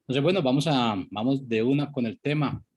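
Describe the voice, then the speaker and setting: A man's voice: mid-range voice, brisk, darkish tone, slight background noise. A man hosting a radio segment in a conversational presenting style. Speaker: radio host.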